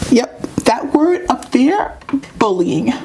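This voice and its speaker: A woman's voice: fairly high pitch, big pitch swings, slow pace, a little mellow, a little hiss or hum, heavily acted. A woman voicing an earnest, dramatic little pre-K girl puppet in a children's puppet show, with emphatic, repetitive delivery.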